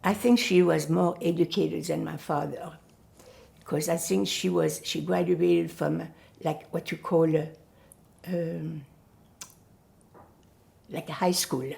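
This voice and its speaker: An older woman whose first language is Yiddish, recalling her family in an oral history interview, pausing often to search for words. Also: deep voice, steady tone, gravelly, warm tone. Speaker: Holocaust survivor giving testimony.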